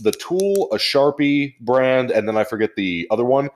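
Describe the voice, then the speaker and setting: Man, mid-pitched voice, very varied intonation, casual podcast co-host. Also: expressive, normal speed. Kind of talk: casual chat among friends.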